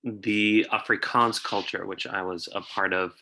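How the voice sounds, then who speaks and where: Deep low-key panelist man. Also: steady tone, measured pace, sharp tone, a little hiss or hum. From South Africa. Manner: thoughtful, frequent ums and uhs. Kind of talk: conversational panel sharing. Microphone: video call.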